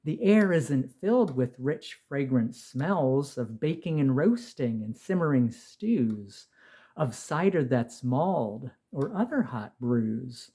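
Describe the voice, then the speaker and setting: A man voicing a stately old earth-goddess crone in a storybook poem, solemn, coaxing and motherly as she gently chides. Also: medium pitch, expressive, slow pace, slightly rough, full character voice. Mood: gently chiding.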